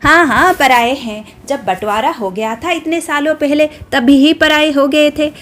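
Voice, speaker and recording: A woman voicing a loud, spiteful village aunt who shrilly scolds and jeers at her relatives. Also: sits high, normal speed, pitched above the performer's own voice. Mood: furious scolding.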